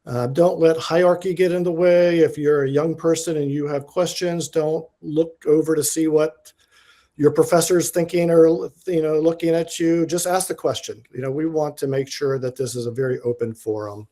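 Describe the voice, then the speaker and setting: A man with a somewhat raised pitch and a mellow, relaxed tone gives a science talk. He sounds keen on his subject and tries to keep his pace slow and deliberate. Frequent "uh"s and self-corrections run through his speech. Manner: enthusiastic, many fillers and restarts; aims to speak slowly and deliberately. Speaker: guest lecturer, diatom researcher. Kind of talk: informal academic presentation.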